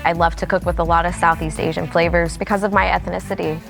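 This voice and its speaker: A woman's voice: on the low side, flat delivery, fast talker, vocal fry. A woman chef talking casually in a reality cooking contest. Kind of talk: casual reality show talk. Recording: loud music and gunfire over speech. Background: loud music, game gunfire.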